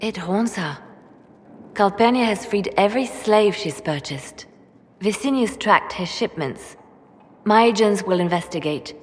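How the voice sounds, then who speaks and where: A woman voicing a poised, businesslike advisor in a fantasy video game, with a measured, formal delivery. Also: mid-range voice, lively intonation, slightly rough. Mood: serious briefing. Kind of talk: video game cutscene briefing.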